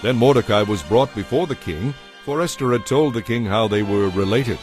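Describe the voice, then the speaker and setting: A man narrating a dramatized audio Bible in a measured, formal storytelling manner. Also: fairly low pitch, lively intonation, faint music behind.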